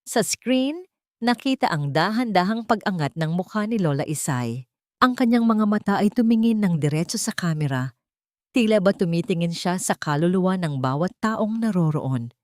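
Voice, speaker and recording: Woman narrating an audio drama story, lowish voice, super dry close sound. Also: darkish tone, dry recording.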